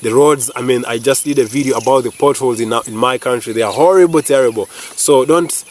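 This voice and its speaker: A man's voice: mid-range voice, fairly quick, darkish tone, slight background noise. A man from Uganda with a Ugandan English accent who is not a native English speaker, vlogging casually, talking straight to viewers, rambling, searching for words and repeating himself. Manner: talks straight to viewers, keeps saying "guys". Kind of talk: casual opinion vlog.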